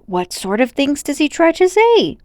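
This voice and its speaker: A woman's voice: high-pitched, very animated, moderate pace, a little mellow, no room sound, clean studio sound, voice pushed up. A woman voicing a spirited, curious young girl in a storybook, with pert, questioning delivery. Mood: curious, excited. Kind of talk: storybook character voice.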